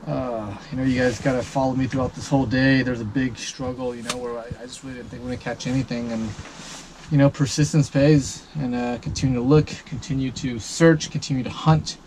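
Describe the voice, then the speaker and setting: A fishing charter captain in his thirties talking to camera at the end of a long day, tired, calm and grateful, with plenty of ums and uhs. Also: mid-range voice, brisk, slight background noise, rough recording. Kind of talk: casual vlog talk to camera. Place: parked vehicle at night. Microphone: action camera mounted close.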